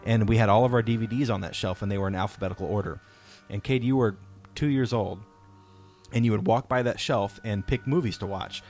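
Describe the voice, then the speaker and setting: Low-voiced man chatting over music. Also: brisk, a little mellow. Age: 30s. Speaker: podcast host, father talking with his children. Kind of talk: casual chat, personal storytelling.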